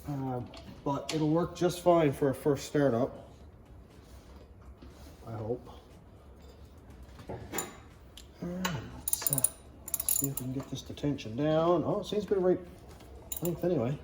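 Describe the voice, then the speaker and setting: A man's voice: a little high, a little slow, pauses often, a little mellow, reverberant, slight background noise. A man chatting casually as he hosts a DIY car build vlog, thinking aloud and muttering to himself as he works.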